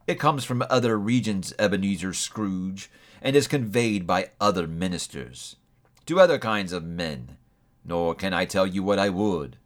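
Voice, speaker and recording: A man voicing a doomed old male ghost, solemn and grieving, speaking slowly and heavily in a storybook reading. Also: sits low, expressive. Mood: mournful. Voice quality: slow, heavy, mournful delivery.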